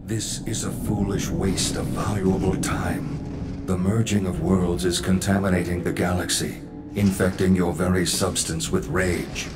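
Deep, stern cosmic guardian. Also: male voice, level tone, a little slow. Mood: grave warning. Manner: grave, formal, authoritative. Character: stern, solemn alien male elder guardian of cosmos. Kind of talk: video game cutscene acting. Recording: game music behind voice.

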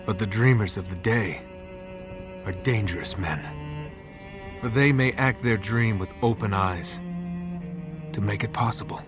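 An actor playing a solemn narrator of a video game prologue, grave and deliberate, with dramatic pauses. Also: male voice, fairly low pitch, natural voice. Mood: solemn, reflective. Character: solemn male narrator reciting a reflective epigraph. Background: orchestral strings music.

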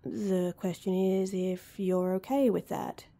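A woman's voice: medium pitch, steady tone, slow, dark tone. A woman playing an awkward, heartbroken young woman in an intimate roleplay audio drama, speaking hesitantly and with self-deprecation. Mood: tentative, hopeful.

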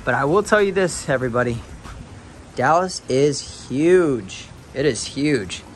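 A young man filming a casual home tour on a handheld phone, talking to viewers with excited exclamations and lots of fillers. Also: medium pitch, measured pace, a little hiss or hum. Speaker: real estate video host. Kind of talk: casual walkthrough narration. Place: inside a large empty house.

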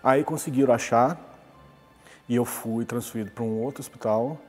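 A man's voice: mid-range voice, moderate pace, darkish tone, big-room sound, soft background music. A man, recounting a personal story in a conversational interview. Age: 40s. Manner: conversational storytelling, with many restarts. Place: glass-walled lobby, indoors.

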